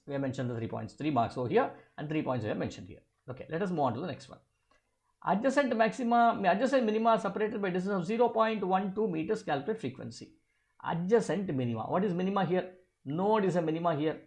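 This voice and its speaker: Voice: medium pitch, gravelly, a little mellow. Physics tutor with a middle-register voice; didactic, methodical exam walkthrough, poses questions then answers them, restates key terms. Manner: repeats key phrases. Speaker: physics teacher. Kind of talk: step-by-step exam problem walkthrough.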